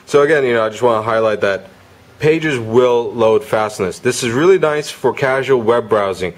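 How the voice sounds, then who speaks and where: A man giving a casual, unscripted product review, halting and rephrasing as he goes. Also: medium pitch.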